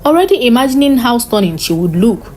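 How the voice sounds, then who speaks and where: A woman narrating a scripted folktale in an expressive, dramatic storytelling style. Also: a little high, brisk.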